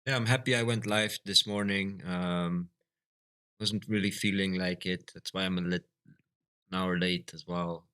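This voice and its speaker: A Flemish man from Belgium whose first language is Dutch, chatting casually on a live stream, halting and full of fillers. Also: low-pitched, fairly even intonation, pushed and bright, clear, resonant tone. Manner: halting, lots of fillers and restarts. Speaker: livestreamer.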